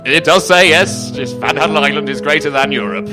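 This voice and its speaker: A man's voice: fairly high pitch, brisk, over music, lightly acted. A man improvising a comedy radio play as an awkward, blunt train passenger with wry, stammering politeness. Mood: awkward, polite.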